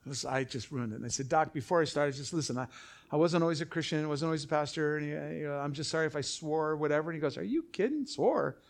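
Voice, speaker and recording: A pastor telling a funny personal story in a sermon, animated and breathless as he acts out others. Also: on the high side, fast talker.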